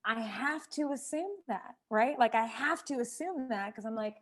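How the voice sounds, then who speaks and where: A woman's voice: on the high side, expressive, normal speed. A woman speaking in a documentary interview, emphatic and given to rhetorical questions. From Georgia, USA.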